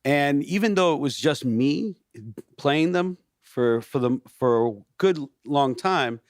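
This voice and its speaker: Animated man, dry close mic. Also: fairly high pitch, slow, dry recording. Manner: animated, restarts often, peppered with "you know". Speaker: pastor and worship leader, guest. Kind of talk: casual podcast conversation.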